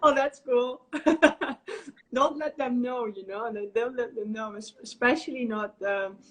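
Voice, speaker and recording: A chatty Dutch woman asks friendly questions and laughs often as she talks. Her voice sits a bit high, and she comes through on a video call. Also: measured pace. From Netherlands. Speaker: host interviewer. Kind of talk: casual video-call chat.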